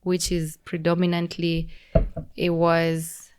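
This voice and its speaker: A young woman with a Kenyan accent, a podcast guest explaining things in a relaxed, teacherly way with frequent restarts. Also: on the low side, slow pace, clear, resonant tone. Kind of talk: casual conversational explaining.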